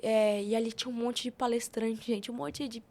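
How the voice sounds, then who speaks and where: Young brazilian girl, kinda high voice, chatty podcast guest telling stories. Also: steady tone, normal speed, a little mellow. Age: young adult. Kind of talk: casual podcast conversation.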